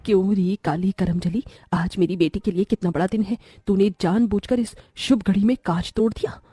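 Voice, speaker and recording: A woman voicing a spiteful middle-aged stepmother with a scolding, taunting manner in an animated moral story. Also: medium pitch, normal speed, slightly rough, dry recording, lightly acted. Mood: angry scolding.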